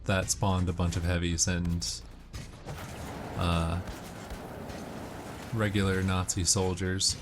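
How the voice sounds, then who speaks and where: A man doing casual video game walkthrough commentary, explaining tips in a measured way. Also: low-pitched, level tone, over music. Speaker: gamer giving walkthrough commentary.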